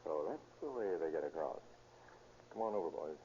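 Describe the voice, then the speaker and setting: Deep mellow american cop boss, old radio crime show, muffled noisy recording. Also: male voice, expressive, normal speed. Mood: businesslike. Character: shrewd, commanding American police detective leading a raid. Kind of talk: 1930s radio drama acting.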